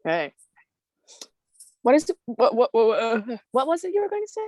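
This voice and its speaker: A woman reading a higher-voiced, playful teenage girl. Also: big pitch swings, normal speed, a little mellow, no room sound. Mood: curious. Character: Melody, an outgoing, curious teenage girl. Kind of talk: table read of a film script.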